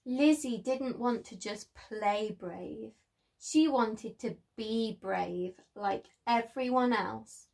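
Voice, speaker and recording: Female preschool storyteller: higher-pitched, very unhurried, encouraging, addressing young children. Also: vocal fry, darkish tone. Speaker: preschool story-time presenter.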